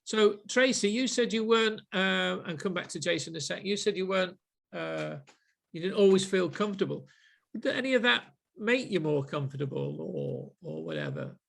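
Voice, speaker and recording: A middle-aged man with a Manchester accent, leading a group sales session in a deliberate, reflective way. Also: sits high, expressive, vocal fry, fairly bright tone. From Manchester, England. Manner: deliberate, with frequent ums and restarts.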